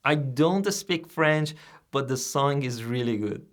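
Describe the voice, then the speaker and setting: A man whose first language is Persian, hosting a software tutorial and explaining each step in a plain, steady way. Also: medium pitch, slow pace, loud music behind the voice. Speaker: tutorial host. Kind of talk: screen-recorded software tutorial.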